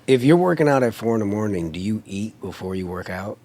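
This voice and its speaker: A middle-aged man from Chicago, co-hosting a casual podcast chat, relaxed and stammering a little as he starts his stories. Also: on the low side, brisk. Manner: relaxed, stammering, short replies. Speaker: podcast co-host.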